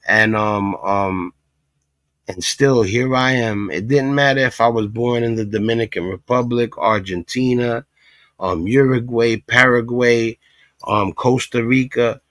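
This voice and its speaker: A man from Puerto Rico hosting a livestream, speaking at an average pitch and stressing his points. The recording is dry, with little room sound. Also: a little slow, fairly bright tone, no room sound. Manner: emphatic, talks in repeated asides. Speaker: livestream commentary host. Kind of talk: casual reaction commentary.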